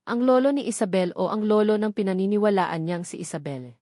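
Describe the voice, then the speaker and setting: A woman's voice: medium pitch, a little mellow, no room sound, studio quality. A woman reading a scripted dramatic story as its narrator.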